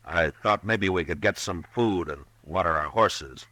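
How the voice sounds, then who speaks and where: An actor playing a steady, firm frontier marshal in an old radio drama, speaking in terse, measured lines. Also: male voice, low voice, full character voice. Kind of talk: 1950s radio drama acting.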